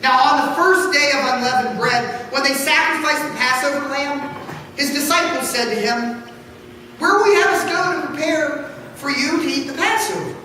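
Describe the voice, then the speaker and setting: A woman reading scripture aloud in church, solemn and deliberate, with dramatic phrasing. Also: high-pitched, pushed and bright. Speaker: scripture reader. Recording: echoey hall from far off, faint noise.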